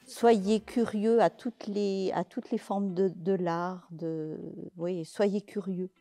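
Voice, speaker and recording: A woman in her sixties answering interview questions, slowly and hesitantly, with many fillers and restarts, seated in a large bare indoor hall. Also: medium pitch, fairly even intonation, mellow, faint music behind. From France, Pays de Gex. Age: 60s. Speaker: interviewee, literary event organizer.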